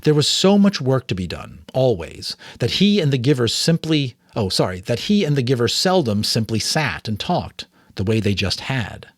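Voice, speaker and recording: A man narrating a novel as a dramatic, expressive audiobook reading. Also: medium pitch, normal speed, few pauses, no room sound, clean studio sound. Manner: expressive, theatrical.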